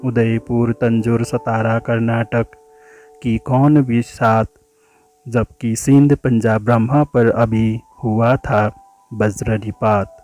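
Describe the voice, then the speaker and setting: A man reciting a poem in a measured, declamatory way, drawing out the ends of lines. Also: mid-range voice, flat delivery, a little mellow, soft background music. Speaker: poetry reciter. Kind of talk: dramatic Hindi poem recitation.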